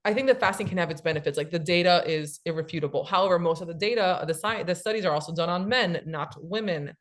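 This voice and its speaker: A woman in her late thirties, a cookbook author, talking casually and explaining things quickly with many fillers. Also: lowish voice. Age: 30s. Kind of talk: casual podcast chat.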